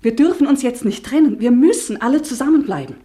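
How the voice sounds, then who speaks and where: Ruth, a pleading wife. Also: female voice, high voice, animated, quick pace, dark tone. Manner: pleading, rising to anguished outbursts. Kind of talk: 1950s-60s radio play acting.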